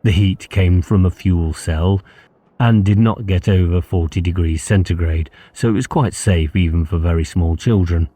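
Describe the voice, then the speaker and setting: A male audiobook narrator with a very low, mellow voice. He reads literary prose as scripted narration, captured in a very dry recording. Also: normal speed, dry recording.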